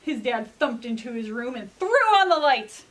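An American woman in her thirties presenting and reading as a children's librarian, expressive and clearly enunciated, with dramatic pauses. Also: fairly high pitch. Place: indoor library room.

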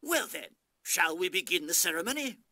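A man's voice: sits high, very animated, moderate pace, sharp tone, no echo, studio quality, heavily acted. A man playing a pompous, avuncular middle-aged knight captain in a video game. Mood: fatherly. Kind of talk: scripted video game acting. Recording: game audio with music underneath.

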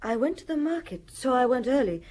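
Polite composed wife in a radio drama, slightly high voice, chatty and helpful. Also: female voice, big pitch swings, a little fast, darkish tone. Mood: composed, explaining. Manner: courteous, forthcoming, conversational. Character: polite, composed married housewife answering police questions.

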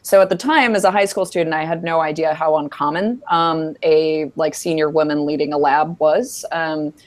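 A woman in her thirties from New York talks through an earbud headset on a video call. Her voice sits low for a woman, and she speaks casually and reflectively, with lots of fillers. She sounds like she is thinking aloud about her own experience. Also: steady tone, normal speed. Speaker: panelist. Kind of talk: panel answer over video call. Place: office with bookshelves.

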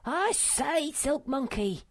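A small young male creature, high-pitched and plaintive. He sounds timid yet self-important, speaking in solemn, earnest tones with a dry, close sound. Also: lively intonation, slow, no room sound, full character voice. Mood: uneasy.

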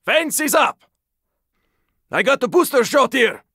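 An actor playing a gruff, battle-worn survivor in a zombie video game, giving terse, intense callouts in a gravelly, raspy voice. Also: male voice, extremely high pitch, animated, a little slow, no room sound, studio quality, heavily acted. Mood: terse, focused. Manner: terse, intense callouts, often strained or shouted. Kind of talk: video game combat voice lines.

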